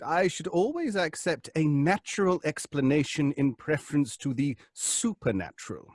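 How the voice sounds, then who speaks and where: An actor playing an earnest, pedantic professor leading a seance in a radio drama, speaking precisely with hesitant ums. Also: male voice, fairly high pitch, expressive, moderate pace, dry recording, clean studio sound, faint music behind. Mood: pedantic, measured. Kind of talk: old-fashioned radio drama acting.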